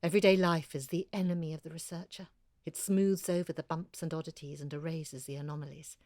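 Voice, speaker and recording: A woman's voice: sits low, fairly even intonation, fairly quick, darkish tone, no room sound, studio quality, natural voice. A woman playing an earnest, chatty amateur researcher in an audio drama, confiding and effusive as she talks. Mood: wry, animated. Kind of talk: conversational audio drama monologue.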